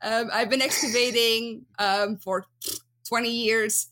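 A woman's voice: fairly high pitch, steady tone, slow pace, bright, forceful tone, poor audio. A woman in her thirties from Belgium with a Dutch accent, chatting as an interview guest, lots of ums and easy laughter. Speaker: guest archaeologist. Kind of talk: casual interview chat.

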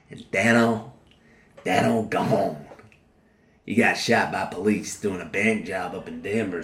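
An actor playing a defiant convict serving life, talking in coarse drawling slang with a sneer. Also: male voice, sits low, a bit bright, a little hiss or hum, heavily acted. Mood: weary. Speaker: prison inmate. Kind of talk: dramatic dialogue acting. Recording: roomy echo.

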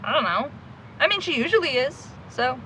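A woman playing a brisk, wry young woman who takes charge of an amateur sleuth team in an audio drama. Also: high-pitched, sing-song, sharp tone, a little hiss or hum, heavily acted, low-quality recording. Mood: polite, slightly awkward. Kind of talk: audio drama acting, naturalistic.

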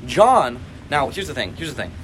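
Young man, podcast co-host, rambly and loose, mid-pitch voice, phone recording with clear background noise. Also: very animated, normal speed, fairly bright tone. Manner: rambling, many restarts and fillers. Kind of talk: casual chat. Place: jazz band competition venue. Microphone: phone speaker. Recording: phone recording at a noisy competition.

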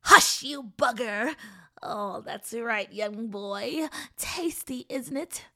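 A woman's voice: fairly high pitch, slow, bright, forceful tone, heavily acted. A woman voicing a cruel, greedy old woman who bosses children around and gloats over fattening them up. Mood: gloating. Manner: bossy, sneering, gloating.

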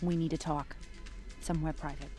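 An actress playing a tough, wry police detective in an audio drama, blunt, dry and commanding. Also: female voice, low-pitched, level tone, a little fast, a little mellow, soft background music, lightly acted. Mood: terse, commanding. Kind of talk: scripted audio drama acting.

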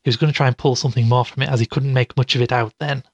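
A man's voice: medium pitch, fairly even intonation, racing, slightly rough, no room sound, dull audio. A man acting as a sceptical, tired podcaster in an audio drama, speaking in a casual, understated way. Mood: measured narration. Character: sceptical, tired male podcaster investigating a mystery.

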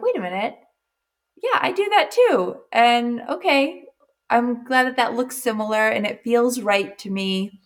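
A woman hosting a podcast in a mid-range voice. She chats casually with her guest, asking long, winding questions. She backs the guest up with frequent quick agreements. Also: mid-range voice. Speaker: podcast host. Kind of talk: casual interview chat.

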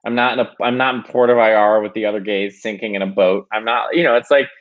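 A man as a podcast guest, talking casually in a halting, self-conscious way with many restarts. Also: lowish voice, fairly even intonation, narrow, tinny audio. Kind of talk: casual interview chat.

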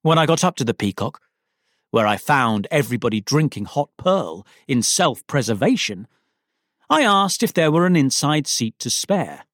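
A man reading a Victorian story aloud as an actor, with wry, self-deprecating humour and deliberate, dramatic phrasing. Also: mid-range voice, very animated, fairly bright tone, dry recording, studio quality. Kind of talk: expressive literary narration of a Victorian story.

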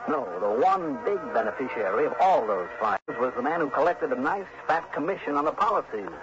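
A man's voice: mid-range voice, expressive, noisy background, lightly acted. An actor playing a shrewd, self-assured male insurance investigator, wry and clipped, in 1960s radio drama style. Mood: tense, deliberate. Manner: wry, clipped, deliberate storytelling. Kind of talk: 1960s radio drama acting, first-person narration. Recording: dull vintage radio recording, loud music behind.

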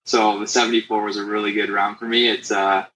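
A young adult man from Canada, a guest in a casual interview, talking in a relaxed way with lots of fillers. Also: lowish voice, fairly quick, a little hiss or hum, poor audio. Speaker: guest, company founder.

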